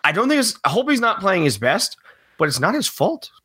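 A man with a cold speaks in a slightly raspy voice on a casual sports podcast. His pitch swings widely as he rambles through his opinions, and he breaks into laughter often. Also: big pitch swings, normal speed, bright, forceful tone. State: has a cold, a little raspy. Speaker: regular podcast co-host.

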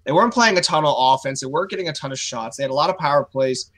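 A man co-hosting a casual sports podcast, opinionated and animated when he rants about the game. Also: on the high side, very fast. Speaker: podcast co-host, youth coach.

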